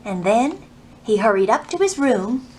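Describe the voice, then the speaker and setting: A woman hosting a children's storytime, reading and teaching in an animated way with careful enunciation for young listeners. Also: a little high, measured pace. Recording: roomy echo, faint background noise.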